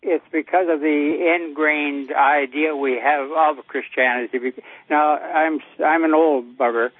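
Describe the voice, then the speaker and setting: A man's voice: on the high side, fairly even intonation, measured pace, dry recording. A ninety-year-old American man calling in to a show, chatting in a plain-spoken, folksy way. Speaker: caller. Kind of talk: casual phone-in chat. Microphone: telephone. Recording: dull lo-fi call audio.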